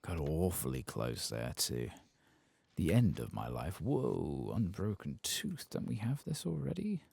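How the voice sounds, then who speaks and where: Very deep, husky soft-spoken man. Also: big pitch swings, a little slow. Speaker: gameplay streamer. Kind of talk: soft-spoken ASMR game commentary.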